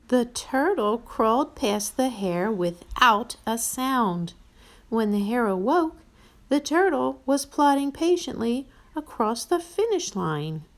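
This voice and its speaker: A woman storyteller reading a storybook aloud to young children, expressive and playful. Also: on the high side, slow. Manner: expressive, addresses listeners playfully.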